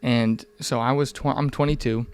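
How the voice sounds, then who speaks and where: A man's voice: mid-range voice, fairly even intonation, normal speed, clear, resonant tone. A man in his mid twenties chatting candidly on a podcast in an informal, rambling, earnest way, with some swearing. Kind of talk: casual, candid podcast conversation.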